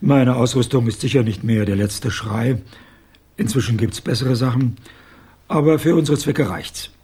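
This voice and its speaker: An actor playing a blunt, confident old former private detective with a husky voice in a radio crime drama. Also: male voice, medium pitch, normal speed, warm tone. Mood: confident, suspicious. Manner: blunt, assertive. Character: gruff, confident old male ex-private detective, gang member.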